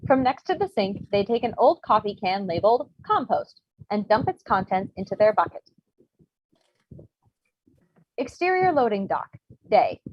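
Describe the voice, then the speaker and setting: A woman's voice: mid-range voice, breathy edge. A woman giving scripted narration, reading stage directions for a comedy table read. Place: remote virtual table read. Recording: muffled video-call audio.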